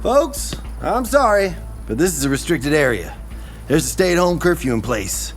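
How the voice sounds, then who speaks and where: An actor playing an official military guard in an audio drama, polite but firm. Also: male voice, a little high, sing-song, moderate pace, faint music behind. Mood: apologetic, firm.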